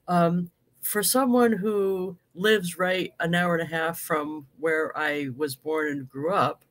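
Chatty older woman hosting a video call. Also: lowish voice. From United States. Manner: chatty, laughs often. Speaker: host. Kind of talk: casual video-call interview. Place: home office with bookshelves. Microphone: webcam on a video call.

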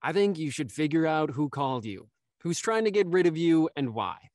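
A man's voice: a little high, very animated, moderate pace, darkish tone, no room sound, clean studio sound. A man playing a hungover, irritable and dismissive young man in an audio drama, grumbling and curt. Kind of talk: naturalistic audio drama read-through. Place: home, on a video call.

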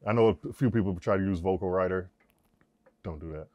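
Man, deep voice, very low pitch, relaxed slangy engineer, lapel mic, dry studio room. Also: fairly quick, dry recording. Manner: relaxed, slangy, explains while working. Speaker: mix engineer. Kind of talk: casual studio walkthrough. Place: recording studio control room.

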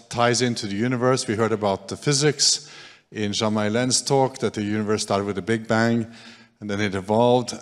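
A man in his sixties giving a loud, casual, self-deprecating lecture into a handheld mic in a large hall. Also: lowish voice. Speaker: speaker. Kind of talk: public lecture. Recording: long reverb of a large hall.

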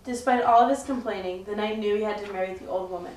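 A woman's voice: mid-range voice, fast talker, a little mellow. A young woman reading scripted storytelling narration for a puppet show. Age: young adult. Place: indoor room, puppet show recording. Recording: echoey room, distant mic, faint noise.

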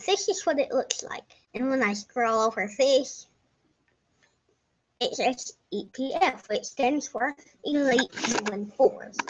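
Slow-talking young woman narrating gameplay. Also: high-pitched. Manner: rambling, self-correcting, slips into whispers. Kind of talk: casual game commentary. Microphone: desk microphone. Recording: dull lo-fi home mic.

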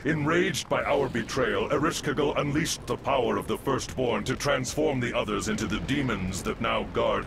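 A man's voice: mid-range voice, creaky, background noise. A man playing an ancient priest spirit in a video game cutscene, solemn and grave, speaking in formal oratory.

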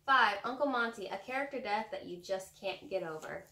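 A woman's voice: medium pitch, animated, a little fast, bright, forceful tone, slight background noise. Young woman in an echoey room. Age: young adult. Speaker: book vlogger co-host. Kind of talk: casual chat about books, reading out tag prompts. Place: small room at home with bookshelves. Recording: roomy echo from camera distance.

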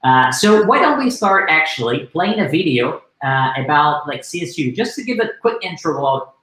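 A man's voice: a little high, sing-song, moderate pace, sharp tone. A young man from Brazil hosts a live webinar over a video call. He talks casually and enthusiastically, with lots of fillers. The sound has a roomy echo. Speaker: webinar host. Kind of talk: casual live-stream hosting.

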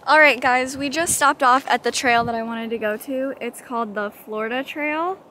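A young woman in her twenties vlogging casually to a handheld camera, chatty and quick to startle. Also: sits high, music in the background. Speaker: outdoor vlogger. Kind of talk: casual vlog narration. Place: motel room, then outdoors in a pine forest. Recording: noisy handheld camera audio.

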